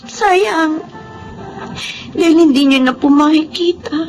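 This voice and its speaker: A woman playing a gentle, grieving but hopeful young orphaned Filipino woman in a drama, earnest and polite. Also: high-pitched, takes it slowly, low-quality recording. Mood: solemn, emotional. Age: young adult. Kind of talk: Filipino radio-style drama acting. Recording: music behind the voice.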